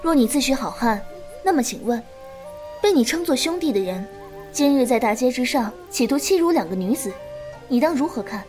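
A woman playing a calm, principled, protective elder-sister swordswoman in a martial-arts audio drama. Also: sits high, fairly quick, soft background music. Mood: stern, righteous. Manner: composed, coaxing, righteous lecturing.

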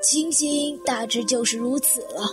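Woman playing high-voiced, composed widow in a mystery drama. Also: unhurried, music in the background. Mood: grave, composed. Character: composed older widow, Madam Honma, recounting a suicide. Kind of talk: Chinese audio detective drama acting.

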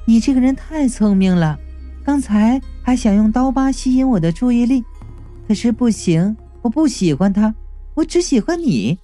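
A man's voice: very high voice, expressive, moderate pace, dark tone, faint music behind. A man voicing a playful, sadistic young ghost girl who teases in a sweet, childish singsong. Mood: sweetly cruel.

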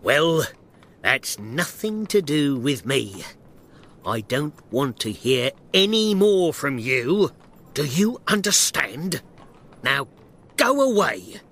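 A man voicing an angry, gruff, bullying shopkeeper in an acted storybook reading, shouting dismissively. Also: fairly high pitch, takes it slowly, few pauses, pitched above the performer's own voice, exaggerated voice. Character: tall, angry, bullying male poultry shopkeeper.

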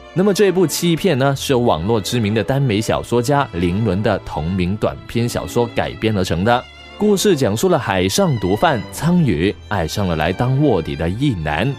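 A man's voice: fairly low pitch, a little mellow. A man presenting a scripted recommendation as the host of an audio drama show. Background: background music.

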